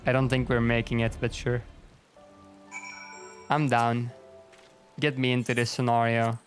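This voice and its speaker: A man's voice: mid-range voice, monotone, unhurried, faint music behind. A young man from Belgium with a strong Flemish accent, a native Dutch speaker, chatting casually and rambling on a gaming livestream, swearing often. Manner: rambling, swears a lot, self-deprecating, frequent restarts. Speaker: streamer.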